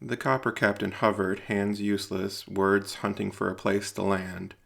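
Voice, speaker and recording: A man narrating scripted dramatic fiction in a solemn, deliberate storytelling manner. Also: lowish voice, level tone, creaky, a bit bright.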